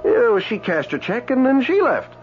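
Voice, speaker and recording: An actor playing a weary, tender dead man in a radio drama, speaking in a halting, wistful way. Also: very high-pitched, big pitch swings, exaggerated voice. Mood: casual, modest. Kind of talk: old-time radio drama acting. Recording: dull old radio recording with music.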